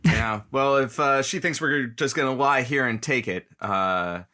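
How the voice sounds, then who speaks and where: A man playing a brisk, wry radio co-host who announces callers on a comedy drama. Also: medium pitch, bright, forceful tone.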